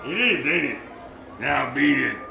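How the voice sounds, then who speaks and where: A surly middle-aged shooting gallery boss played by a man in a radio crime play, threatening and sardonic. His mid-pitched voice sits in a roomy theater over clear background noise. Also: lively intonation, a little slow. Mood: gruff sarcasm. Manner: gruff, sarcastic, threatening. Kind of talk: live 1940s-style radio drama acting.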